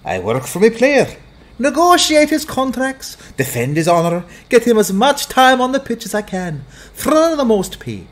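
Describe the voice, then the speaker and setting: Man playing a slick, animated fatherly sports agent. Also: very high voice, normal speed. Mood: genial, smooth. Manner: genial, wheedling, calls listeners "son". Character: slick, fatherly, fast-talking male sports agent and hustler. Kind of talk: dramatized fantasy audiobook acting.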